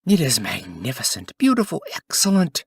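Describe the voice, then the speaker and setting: A man voicing fawning royal courtiers who flatter with exaggerated, gushing admiration in a storybook reading. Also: medium pitch, normal speed, creaky, husky, dry recording, clean studio sound, voice pushed up, full character voice. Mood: gushing, admiring.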